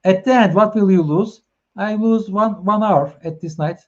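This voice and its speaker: A man's voice: sits high, moderate pace, highs cut off. A man from Turkey with a Turkish accent, hosting a live stream talk in English, hesitant with many fillers and restarts as he encourages his viewers. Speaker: host.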